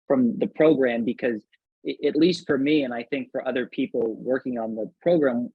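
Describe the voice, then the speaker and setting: A man with a husky, mid-pitched voice moderates a panel. He is polite and halting, often restarting as he goes. The sound is slightly muffled. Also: no room sound.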